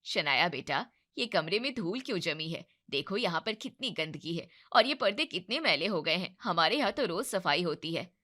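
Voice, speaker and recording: A woman's voice: on the low side, expressive, moderate pace, few pauses, bright, forceful tone. A woman doing a nitpicking mother-in-law. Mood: nagging. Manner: critical, complaining. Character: fussy nitpicking middle-aged mother-in-law.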